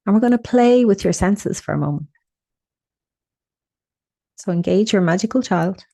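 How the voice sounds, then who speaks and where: A woman leading a guided meditation, speaking in a soothing, unhurried way with gentle instructions and pauses. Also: medium pitch, animated, vocal fry.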